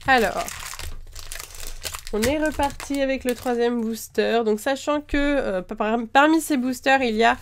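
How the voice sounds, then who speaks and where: Chatty woman with a bit of a cold, higher voice, rattling off card names between lots of ums. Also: takes it slowly, long pauses, a little hiss or hum. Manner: chatty, lists items one after another, frequent "euh" fillers. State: slightly congested from a cold. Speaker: card-opening video host. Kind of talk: casual chat while opening trading card packs.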